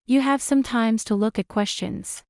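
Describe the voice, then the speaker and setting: A woman's voice: on the high side, normal speed, gravelly, darkish tone. A woman reading scripted instructions as a listening test instructor, with noise in the recording.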